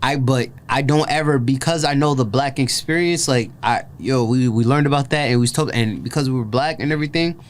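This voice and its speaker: A man's voice: mid-range voice, fairly quick, hardly any pauses. Rambling casual male host. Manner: rambling, heavy with "like" and "yo", restarts often. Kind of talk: casual reaction chat.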